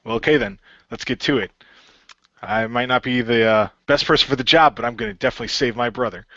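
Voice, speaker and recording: A man's voice: mid-range voice, fast talker, sharp tone, dry recording, muffled recording, close to an everyday voice. A man playing a laid-back, goofy, eager young hero in an amateur radio play, talking in casual slang. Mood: resolute, casual.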